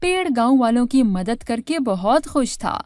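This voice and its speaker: A woman's voice: fairly high pitch, sing-song, a little slow, background noise. A woman reading scripted narration for a children's story.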